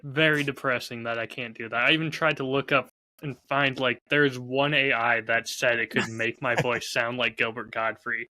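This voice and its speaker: A man's voice: mid-range voice, fairly even intonation, normal speed, pushed and bright, no room sound, low-quality recording. A man co-hosting a podcast in casual banter, with a dry, self-deprecating manner. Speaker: podcast co-host.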